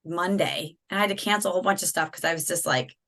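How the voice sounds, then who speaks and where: A woman hosting a podcast interview over a video call, speaking in a casual, affirming way, her voice a little raspy after losing it. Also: fairly low pitch, fast. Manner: warm, affirming, frequent agreeing interjections. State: slightly raspy from a lost voice. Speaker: podcast host.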